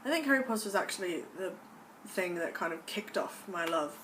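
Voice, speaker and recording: A woman's voice: on the low side, expressive, brisk. A young American woman vlogging casually to camera in a put-on British accent, gushing with enthusiasm about things she loves. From United States. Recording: voice a bit distant from the mic, faint background noise.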